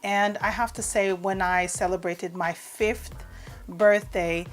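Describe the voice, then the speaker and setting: A woman's voice: mid-range voice, fairly even intonation, takes it slowly, soft background music. A woman in her forties whose first language is Portuguese, chatting casually in a vlog, reading out questions and answering them with lots of "um"s. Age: 40s. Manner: chatty, reads out each question then answers with fillers. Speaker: vlogger. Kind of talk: casual get-to-know-me tag video. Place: home in the UK.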